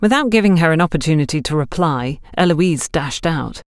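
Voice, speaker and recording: Woman, audiobook narration, lowish pitch, animated melody, dry sound. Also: brisk, no room sound. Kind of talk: scripted story narration.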